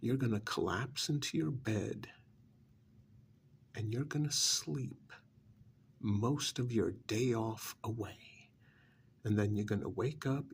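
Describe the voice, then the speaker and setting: A man's voice: mid-range voice, gravelly, slight background noise. A man doing an ASMR role-play as a cynical, persuasive recruiter for vampires, sardonic and full of prodding rhetorical questions.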